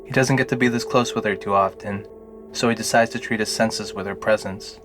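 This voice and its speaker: A man's voice: on the low side, fairly even intonation, brisk. A man narrating a scripted fantasy audio drama in the role of storyteller. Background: music under the narration.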